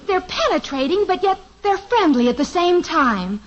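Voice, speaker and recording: High-voiced teen daughter, dreamy, gushing, breathless, lo-fi old radio. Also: female voice, sing-song, moderate pace, very noisy. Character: dreamy, boy-struck teenage daughter Betty. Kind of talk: 1950s radio sitcom acting. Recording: dull lo-fi old radio transcription.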